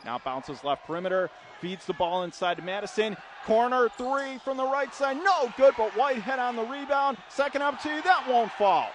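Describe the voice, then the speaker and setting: A man's voice: extremely high pitch, fairly quick. A man calling live radio basketball play-by-play in clipped running phrases, punching up the big plays. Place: indoor college basketball arena. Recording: noisy courtside gym broadcast. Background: gym noise, bouncing basketball.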